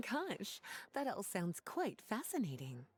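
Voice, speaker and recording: A woman's voice: mid-range voice, wildly swooping pitch, slow, breathy edge, faint music behind, exaggerated voice. A woman voicing a languid, flirtatious adult sorceress in a video game, with a playful, teasing manner. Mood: intrigued. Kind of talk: video game character acting.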